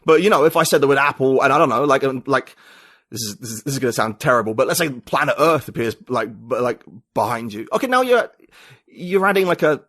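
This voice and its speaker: A man from the UK talks through his ideas in a loose, rambling way, full of restarts and fillers as he thinks aloud. His voice sits at a middle pitch. The sound is close and dry, with no room echo. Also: lively intonation, quick pace, fairly bright tone. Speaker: podcast guest, writer and poet. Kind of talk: casual conversational interview.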